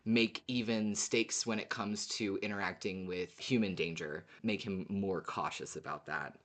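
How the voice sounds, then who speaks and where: Androgynous-sounding podcast co-host, giggly and excitable. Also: deep voice, a little slow. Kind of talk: casual fan discussion of an audio drama.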